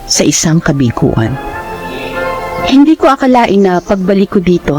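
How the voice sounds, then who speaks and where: A woman's voice: mid-range voice, very animated, moderate pace, over music, natural voice. A woman playing a heartbroken young Filipina returnee in a radio drama, earnest and emotional, with a Filipino accent. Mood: reflective, wistful. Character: heartbroken young Filipina returning from overseas work, wary of love. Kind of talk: radio drama acting with first-person narration.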